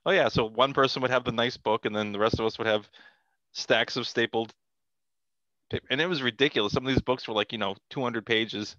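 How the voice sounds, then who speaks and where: A middle-aged man chatting as an interview guest, halting and repeating phrases as he explains, on a headset in a video call from home. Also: mid-range voice, bright, forceful tone, no room sound, dull audio. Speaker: podcast guest, comic artist. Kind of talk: casual conversational interview. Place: small cluttered room at home, video call.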